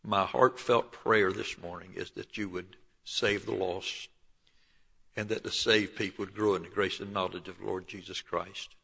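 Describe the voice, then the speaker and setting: A man in his seventies with a Southern Alabama accent, preaching and telling his life story in a folksy way, often clearing his throat. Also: deep voice, fairly even intonation, normal speed, bright, forceful tone. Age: 70s. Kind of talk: sermon with personal testimony. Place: church.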